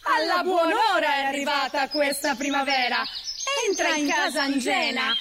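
A woman's voice: high-pitched, normal speed, very noisy, close to an everyday voice. A woman playing a lyrical goddess narrator who addresses her listeners in a declamatory, poetic way in an audio drama. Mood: exuberant. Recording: loud music and chimes behind voice.

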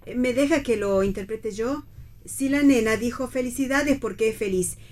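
A woman's voice: a little high, moderate pace, darkish tone, lightly acted. An actress playing a chatty, naive, kindly middle-aged mother in radio theatre, with an Argentine accent. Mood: chatty, fond.

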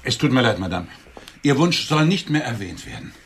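A man's voice: medium pitch, big pitch swings, brisk, slight background noise, close to an everyday voice. An actor playing a lonely, grandiloquent middle-aged businessman wooing on a blind date in a radio drama, with florid, theatrical phrasing. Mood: courting, earnest.

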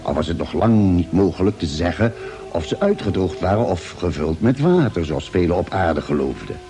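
An actor playing a calm, genial ship's doctor who keeps a diary, articulate and measured, in a radio drama. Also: male voice, low voice, over music. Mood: measured, wondering. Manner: measured, articulate, expressive storytelling. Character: ship's doctor and diarist, calm, genial male space traveller. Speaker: narrator and actor. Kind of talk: Dutch radio drama narration and dialogue.

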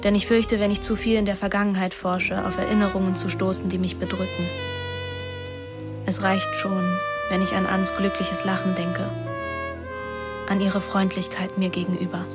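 An actress plays a young woman in a radio play, restless yet composed and detached. She tells her own story reflectively, in a mid-pitched voice. Music runs clearly behind her. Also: flat delivery, moderate pace, close to an everyday voice. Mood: wistful. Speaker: lead actress and first-person narrator. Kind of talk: radio drama narration and dialogue.

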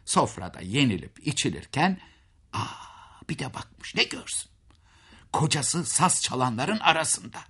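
Male storyteller for folk tales: husky, highly animated pitch, dramatic and folksy, chatting to the listener. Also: measured pace. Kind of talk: expressive oral fairy-tale narration.

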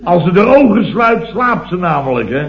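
A man's voice: sits high, big pitch swings, moderate pace. An actor playing a flustered, long-winded married man rambling emphatically in a 1970s radio comedy sketch. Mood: self-important reminiscing. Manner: rambling, emphatic, peppered with tag questions. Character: married man, flustered long-winded comic storyteller complaining about wife. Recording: dull old radio recording, roomy, audience laughter.

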